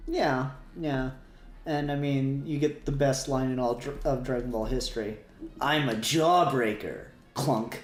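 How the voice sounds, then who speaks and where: A man's voice: medium pitch, unhurried, soft background music. A man hosting a podcast in casual chat, easygoing and informal, with a slightly hesitant delivery. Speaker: podcast host. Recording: echoey room recording.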